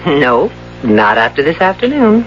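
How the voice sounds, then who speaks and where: A woman's voice: sits low, sing-song, unhurried, faint music behind. An actress with an American accent playing a reckless, playful young wife in her twenties in a 1970s radio drama. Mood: earnest. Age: 20s. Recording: dull, noisy old radio broadcast.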